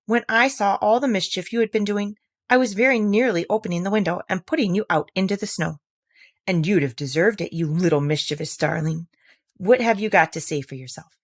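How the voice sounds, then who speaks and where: A woman voicing a chatty, imaginative little girl in a storybook, animated and mock-stern as she talks to her kitten. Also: medium pitch, fairly quick, no room sound, studio quality, natural voice. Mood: mock-stern scolding. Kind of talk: storybook character voice.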